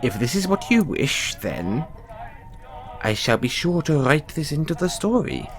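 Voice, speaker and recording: A man's voice: big pitch swings, faint music behind. A man playing a genteel, theatrical playwright. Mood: affectionate. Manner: theatrical, drawn-out, drops low on "dear boy". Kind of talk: one-sided audio roleplay.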